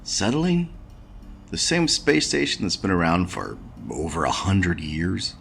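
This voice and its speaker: A man's voice: sits low, huge pitch swings, unhurried, creaky, a bit bright, faint music behind, exaggerated voice. A man voicing a skeptical, stubborn space station repairman in a noir adventure game, with a wry, questioning manner. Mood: skeptical, probing. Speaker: video game protagonist. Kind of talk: noir point-and-click game voice acting.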